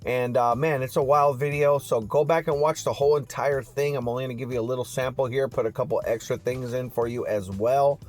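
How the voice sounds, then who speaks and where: A man's voice: medium pitch, fairly even intonation, a little fast, hardly any pauses, a little mellow, faint music behind. A man hosting car news on YouTube in a casual, slangy vlog style, talking straight to his viewers.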